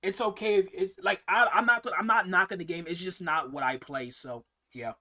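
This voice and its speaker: Bright-voiced young man streaming a Q&A. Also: high voice, brisk. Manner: rambling, repeats words and restarts often. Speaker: streamer answering viewer questions. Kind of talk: casual live-stream Q&A.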